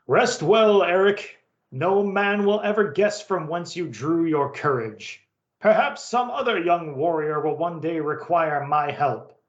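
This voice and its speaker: A man's voice: a little high, animated, a little slow, reverberant, dull audio, voice pushed up. A man voicing a solemn, earnest and secretive old Viking smith in a dramatic comic-book story reading.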